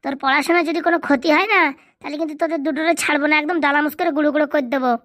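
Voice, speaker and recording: High pitched-up voice, very fast talking, excitable boastful young male cartoon friend, dry close sound. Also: dry recording. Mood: cheeky. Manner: rapid, slangy, bantering. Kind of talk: comedy cartoon dubbing in colloquial rural dialect.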